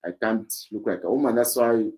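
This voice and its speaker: A man with a deep voice hosting a fitness livestream, explaining points in plain casual chat. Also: fairly quick, warm tone. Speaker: livestream host.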